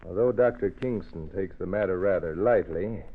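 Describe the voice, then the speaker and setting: Formal, measured inspector, 1940s radio mystery; lowish voice, serious, narrow old-recording sound. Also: male voice. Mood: official, serious. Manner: formal, deliberate, official. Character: gruff, official male police inspector, measured and serious. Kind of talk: old-time radio drama acting. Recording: tinny band-limited old radio recording.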